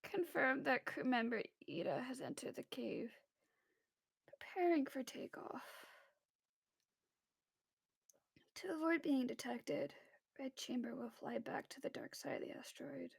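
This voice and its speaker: A woman's voice: sits high, big pitch swings, moderate pace, fairly bright tone. A woman playing an anxious, insecure young spaceship crew member in a dramatic science fiction story, with an emotional delivery. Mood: subdued. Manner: emotional, swinging from technical reports to pleading.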